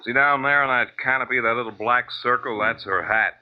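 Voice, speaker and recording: An actor playing a matter-of-fact, laconic police lieutenant in a 1940s American radio crime drama. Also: male voice, mid-range voice, fairly quick, natural voice. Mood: casual. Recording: muffled vintage radio recording.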